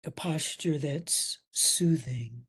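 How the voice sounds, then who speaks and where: Very slow, soft, breathy woman guiding meditation. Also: sits low. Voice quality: soft, breathy, at times near whisper. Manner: soothing, gentle, with long pauses between phrases. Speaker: meditation instructor. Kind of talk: guided meditation.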